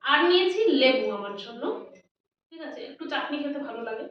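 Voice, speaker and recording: A woman's voice: high-pitched, expressive, brisk. A woman with a West Bengal Bengali accent chats casually to her viewers as a lifestyle vlogger, showing her shopping. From West Bengal, India. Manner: chatty, addresses viewers as friends. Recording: roomy echo, distant mic, dull, faint noise.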